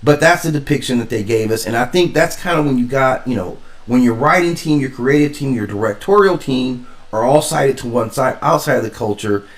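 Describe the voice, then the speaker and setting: A man's voice: medium pitch, lively intonation, a little fast, few pauses. Guy doing casual stream commentary, echoey room, kinda far from the mic, rambly but emphatic. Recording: roomy echo, distant from mic.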